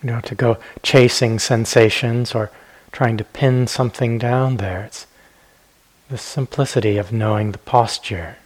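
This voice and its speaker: Male meditation teacher, husky mid-range voice, gentle unhurried instruction with spacious pauses. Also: mid-range voice, fairly even intonation, rough recording.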